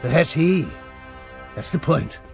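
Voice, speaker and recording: A man's voice: fairly high pitch, expressive, takes it slowly, creaky, soft background music, exaggerated voice. An actor playing a sly, insinuating medical man in a radio drama, arch and clipped. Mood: sly, probing.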